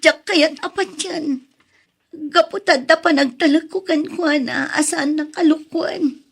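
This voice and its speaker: A woman playing a young woman in love, trusting and easily hurt, in a drama with a husky voice. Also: sits high, unhurried, a bit bright, full character voice. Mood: worried. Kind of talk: Ilocano radio-drama style acting.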